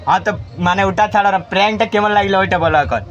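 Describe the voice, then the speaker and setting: A man's voice: high-pitched, rapid-fire, muffled recording, over music. A man hosting an unscripted prank call, chatting to the caller and to viewers.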